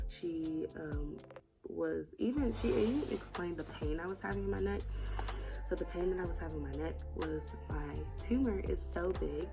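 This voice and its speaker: A woman's voice: low-pitched, gravelly. A woman talking candidly and confidingly in a conversational personal vlog. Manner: conversational and confiding, quotes herself and others often. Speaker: vlogger. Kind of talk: candid personal life-update vlog talk. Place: at home.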